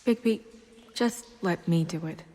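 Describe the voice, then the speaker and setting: A woman playing a composed but uneasy young official in a video game, speaking with restraint and precision in a soft, husky voice. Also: fairly low pitch, measured pace. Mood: guarded, bristling. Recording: long hall reverb.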